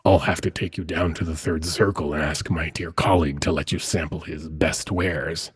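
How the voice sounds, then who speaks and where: The deep, very husky murmur one would hear late at night in a fantasy ASMR roleplay: a silky demon prince, acted by a man, teasing his captive with soft menace. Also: fairly even intonation, moderate pace, heavily acted. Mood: playful, coaxing. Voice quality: husky, breathy, often near whisper. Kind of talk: intimate ASMR roleplay monologue.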